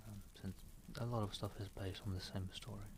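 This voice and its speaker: Male ASMR host, low-pitched, soft and whispery, very even intonation, casual streamer and storyteller, noisy mic. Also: a little fast. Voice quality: soft, often breaking into whisper. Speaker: live-stream host and reader.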